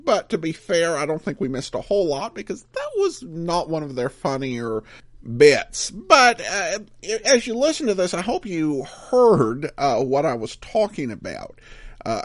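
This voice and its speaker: Higher-pitched, animated man hosting a podcast. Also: no room sound, studio quality. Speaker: podcast host. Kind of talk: casual episode commentary.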